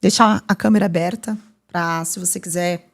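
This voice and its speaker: A woman's voice: lowish voice, steady tone, normal speed, creaky. Woman doctor hosting an interview. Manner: warm, explanatory, uses vivid comparisons. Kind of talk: interview host, advising.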